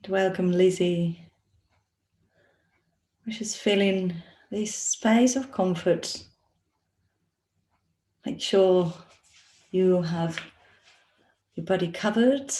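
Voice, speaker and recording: A woman leading a guided meditation as a yoga nidra teacher, speaking in a soothing, instructional manner with long pauses. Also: lowish voice, mellow. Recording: roomy, echoey sound.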